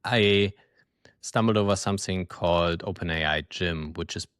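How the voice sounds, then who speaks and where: A man's voice: sits low, moderate pace, fairly bright tone, smooth, no room sound, studio quality. A man hosting an interview podcast, asking long questions and thinking aloud as he goes. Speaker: podcast host.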